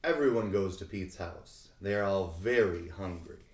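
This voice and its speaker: A man reading a children's picture book aloud expressively, with deliberate phrasing and dramatic emphasis. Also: low voice, a little mellow. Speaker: read-aloud host. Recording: roomy, echoing sound.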